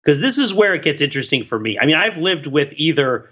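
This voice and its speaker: Bright-voiced man chatting, slightly dull recording. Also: a little high, very animated, quick pace, husky. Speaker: podcast co-host. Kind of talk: casual conversational podcast discussion.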